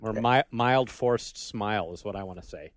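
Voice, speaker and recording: A man's voice: fairly low pitch, normal speed, dry recording, clean studio sound. A man with a nasal voice co-hosting a casual podcast, chatty and self-deprecating. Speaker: podcast co-host. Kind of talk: casual chat.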